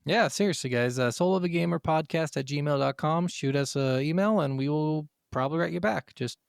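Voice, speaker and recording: Gravelly man in relaxed podcast chat. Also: a little high, brisk, no room sound, studio quality. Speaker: podcast co-host. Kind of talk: casual chat about video games.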